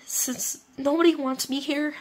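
A young woman voicing a lonely, timid young male creature whose friends ignore him, speaking softly and half in a whisper. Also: sits high, steady tone, measured pace, voice pushed up. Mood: hurt.

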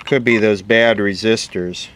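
A man's voice: on the low side, fairly even intonation, measured pace, a bit bright. A man giving casual hands-on repair commentary, muttering to himself as he works. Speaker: radio repair hobbyist. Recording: noisy workbench with radio sound behind.

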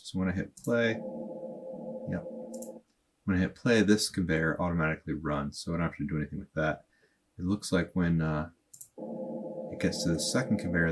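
A man in his thirties at his desk, walking through a software tutorial and thinking aloud in a plain, unhurried way. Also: low-pitched, slight background noise. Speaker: automation tutorial host. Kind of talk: casual screen-recorded tutorial. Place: small indoor room at a desk.

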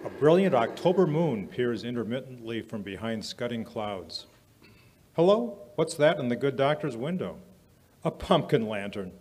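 Guy doing vintage radio pitchman, affable n theatrical, husky voice, deliberate delivery. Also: big pitch swings, a little mellow. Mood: dramatic. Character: affable male 1940s radio announcer and sponsor's pitchman. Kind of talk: 1940s radio announcing. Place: small stage in a museum, live performance. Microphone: vintage-style stand microphone.

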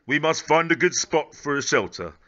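A kindly, adventurous old grandad from a children's storybook, acted out by a man. His delivery is bright and hearty, lifted in pitch and very animated, yet reassuring. The recording is slightly dull. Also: moderate pace. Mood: gentle, reassuring.

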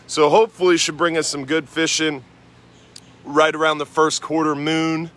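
Bright-voiced American man giving fishing report. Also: fairly high pitch, moderate pace. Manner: relaxed, rambling, many "uh" fillers. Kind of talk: casual fishing and weather report. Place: outdoors at a marina at sunrise.

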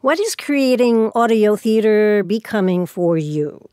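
A woman hosting a podcast, reading credits and asking the cast questions in a brisk, friendly way. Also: medium pitch, lively intonation, dark tone, smooth, no room sound, studio quality. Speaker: host. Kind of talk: podcast host interview.

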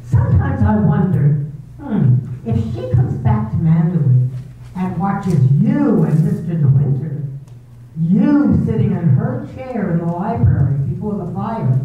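A woman voicing a cold, cruel female housekeeper in a 1930s-style radio drama, talking in a low, insinuating, taunting way. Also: sing-song, a little slow. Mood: coldly insinuating. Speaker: reader in an amateur theater group. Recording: echoey tinny hall recording from far off, with noise.

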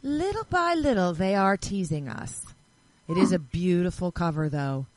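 A woman's voice: lowish voice, lively intonation, normal speed, a little mellow, no echo. A woman presenting a casual news segment on a podcast panel, chatting among friends.

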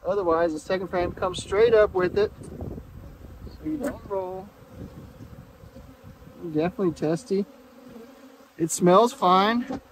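A man, talking his way through a hive inspection in a relaxed, halting way. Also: high voice, low-quality recording. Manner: relaxed, halting, many restarts and fillers. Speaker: beekeeper. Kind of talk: casual hive inspection vlog. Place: outdoor bee yard, open field. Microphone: camera mic on the beekeeper. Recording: outdoor recording with loud bee buzzing. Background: buzzing bees and wind.

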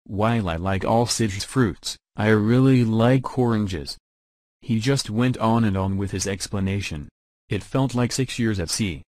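A man's voice: fairly low pitch, unhurried, darkish tone, dry recording. A synthetic man's voice with an American accent, reading a scripted demonstration. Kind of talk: scripted text-to-speech demo reading.

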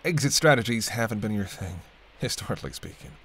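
A man's voice: on the low side, lively intonation, fast talker, fairly bright tone, husky. A man playing a sardonic, teasing vampire in an audio roleplay, talking casually and wryly to the listener as a reluctant companion. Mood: needling, sardonic. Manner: wry, bantering, casual, muttered asides. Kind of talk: intimate audio roleplay acting addressed to the listener.

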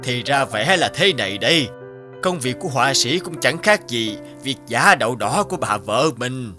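A man's voice: mid-range voice, animated, normal speed, bright, forceful tone. A man voicing an older male farmer in a cartoon, plain-spoken, curious and blunt. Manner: blunt, folksy. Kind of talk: cartoon dubbing. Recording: music behind the voice.

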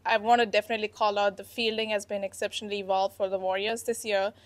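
A young woman captain fields reporters' questions in a steady, earnest tone, her pitch staying level and even. She speaks into a handheld mic in an indoor press room. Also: quick pace. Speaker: team captain. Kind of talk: post-match press conference answers. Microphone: handheld microphone.

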